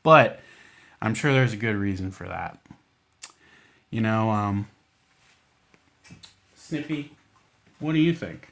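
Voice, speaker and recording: A man's voice: lowish voice, expressive, slow, gravelly, pauses often, fairly bright tone. A man hosting a children's storytime, reading picture books and adding playful, casual asides in simple words. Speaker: children's library storytime host.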